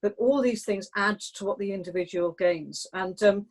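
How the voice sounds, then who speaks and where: Thoughtful woman with a measured delivery. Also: medium pitch. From England. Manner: thoughtful, measured, with frequent restarts. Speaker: guest. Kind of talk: panel discussion.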